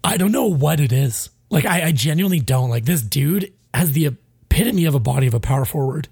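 A man venting on a casual sports podcast, blunt and exasperated, cursing as he breaks down a player's effort. His voice is gravelly and husky, a little stuffed up, with a dark, mellow tone. It is recorded close and dry. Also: on the high side, animated, brisk, no room sound. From Canada. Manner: frustrated, blunt, swears freely. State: stuffy, sinusy. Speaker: podcast co-host.

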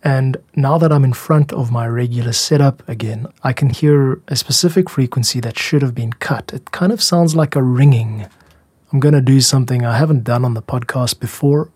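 A man hosting a podcast about making music, explaining things in a measured, candid, self-critical way. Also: medium pitch, a little mellow, breathy edge, dry recording. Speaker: music podcast host and composer.

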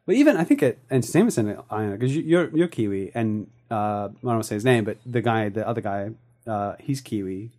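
A man's voice: on the low side, lively intonation, brisk, warm tone. A young man hosting a podcast, chatting casually and enthusiastically with lots of fillers. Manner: rambling, filler-heavy, enthusiastic. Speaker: podcast host.

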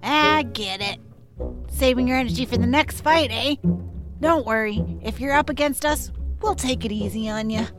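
A woman's voice: fairly high pitch, moderate pace, close to an everyday voice. A woman playing a plucky female troll in an audio drama, chatty and encouraging. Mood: friendly, chatty. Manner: upbeat, encouraging. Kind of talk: audio drama character acting. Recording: music behind the voice.

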